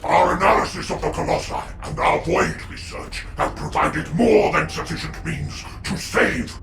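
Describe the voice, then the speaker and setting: Unyielding game ruler: gravelly, forceful, haughty male voice in a noisy, echoing space. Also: a little high, normal speed, distant mic. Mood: defiant, determined. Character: imperious, unyielding male sovereign commanding his legion. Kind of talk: dramatic video game cutscene acting.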